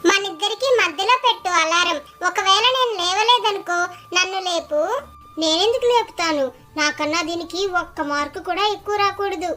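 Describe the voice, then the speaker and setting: A woman's voice: very high voice, normal speed, pushed and bright, soft background music. A woman voicing a childlike, gossipy, competitive schoolgirl in a cartoon story. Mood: outwardly friendly, then sly, scheming. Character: chatty, competitive hostel schoolgirls, scheming friends. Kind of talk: acted cartoon story dialogue.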